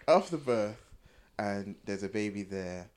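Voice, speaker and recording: A man's voice: on the low side, animated, takes it slowly, darkish tone. A young man chatting casually and candidly as a podcast co-host, repeating phrases as he explains. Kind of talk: casual, candid group chat.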